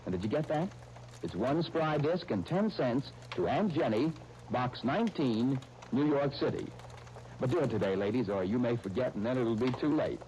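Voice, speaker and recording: A man working as a radio announcer, giving a folksy, eager sponsor pitch in 1930s style. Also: animated, normal speed, mellow. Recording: noisy 1930s radio broadcast disc.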